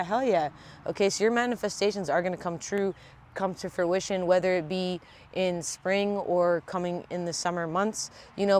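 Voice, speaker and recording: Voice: mid-range voice, a little mellow, a little hiss or hum. A tarot reader with a congested, stuffy voice, giving a casual reading at home in blunt, profane everyday talk. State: congested from a sinus infection.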